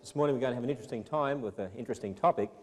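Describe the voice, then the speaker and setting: Fast-talking Australian man in a hall. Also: mid-range voice, a little mellow, a little hiss or hum. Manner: jokey, teacherly, calls out questions to the crowd. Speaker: creationist speaker addressing students. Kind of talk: lively presentation to a student audience. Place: large auditorium.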